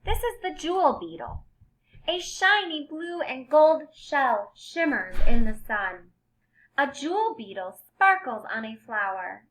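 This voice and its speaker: A woman with a fairly high voice takes her time reading aloud to kindergarteners. She speaks slowly and expressively, like a teacher giving a lesson, and puts things in simple, clear words for small children. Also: a bit bright, rough recording.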